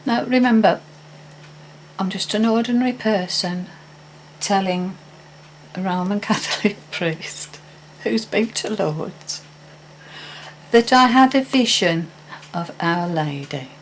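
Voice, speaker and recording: A woman's voice: mid-range voice, slow, a little hiss or hum, poor audio. An older woman telling a personal story in a casual, hesitant, meandering way with frequent restarts. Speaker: vlogger.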